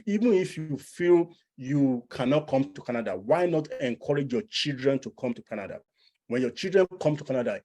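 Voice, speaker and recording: Male presenter, Nigerian accent, mid-pitched voice, informal persuasive explainer style with emphatic repetition. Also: dark tone. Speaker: immigration coach and presenter.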